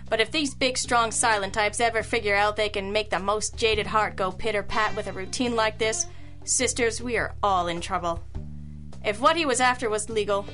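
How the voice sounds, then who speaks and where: A woman performing as a sassy girl detective with a bright, forceful voice at an average pitch. She is sharp-witted and worldly, delivering old-time radio detective lines with crisp, wry poise. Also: natural voice. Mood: wry, smitten.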